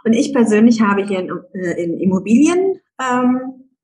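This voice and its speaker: A woman's voice: fairly high pitch, big pitch swings, moderate pace, darkish tone, poor audio. A middle-aged woman, a guest entrepreneur, talking casually in an interview, with many fillers and easy laughs.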